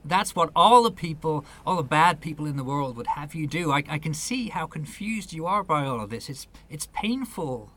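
Man acting earnest, bright-voiced New man. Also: on the high side, expressive, moderate pace, few pauses. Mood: earnest, reasoning. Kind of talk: radio play acting.

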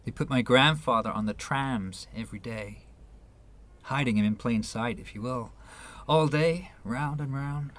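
Guy acting a wry guarded new york finance man in a radio drama, measured clipped phrases, quietly wounded. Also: mid-range voice, close to an everyday voice. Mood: somber, restrained. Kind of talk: radio play dialogue.

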